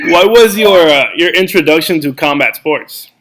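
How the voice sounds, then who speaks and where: A man's voice: fairly high pitch, animated, a little slow, very noisy. A man hosting an interview, enthusiastic and laughing along with his guest. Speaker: podcast host, interviewer. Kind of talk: casual interview conversation.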